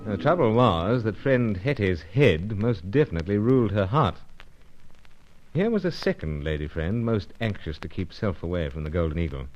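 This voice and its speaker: A British gentleman spy from a vintage radio serial. His voice is low and very expressive, with courteous, old-fashioned turns of phrase and a wry edge. The recording is dull and lo-fi. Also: moderate pace, faint music behind. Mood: wry, narrating. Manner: urbane, polite, hesitant old-fashioned phrasing. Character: suave British male secret agent, gallant and wry.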